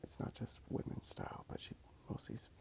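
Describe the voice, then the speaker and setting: A man speaking softly in a casual, rambling ASMR style as he draws. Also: brisk. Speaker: ASMR video creator. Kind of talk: whispered ASMR rambling while drawing.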